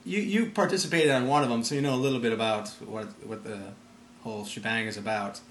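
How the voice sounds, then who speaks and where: Man interviewer in an echoey, noisy room. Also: mid-range voice. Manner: rambling, halting phrasing. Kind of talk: casual conversational interview. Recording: roomy echo, background noise.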